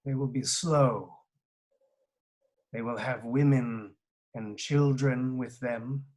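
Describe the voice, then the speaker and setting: An actor playing a grave male strategist who speaks deliberately. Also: mid-range voice, steady tone, darkish tone, lightly acted. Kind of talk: film acting.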